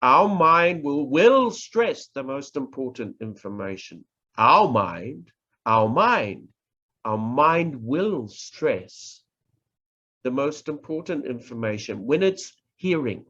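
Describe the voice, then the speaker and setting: Slow-speaking New Zealand man lecturing. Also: mid-range voice, sing-song, pushed and bright. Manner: measured, deliberate, stressing words for demonstration. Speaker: professor giving a workshop. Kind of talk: slow instructional presentation. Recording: dull lo-fi recording.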